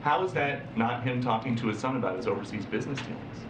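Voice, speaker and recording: A man's voice: mid-range voice, fast talker, background noise. A man questioning a spokesperson at a press briefing, pressing insistently. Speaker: reporter. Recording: roomy, distant briefing room mic.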